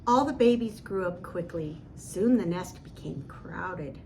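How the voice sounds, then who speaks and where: A woman narrating a children's storybook aloud in an expressive, deliberate way. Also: lowish voice, gravelly, a little hiss or hum.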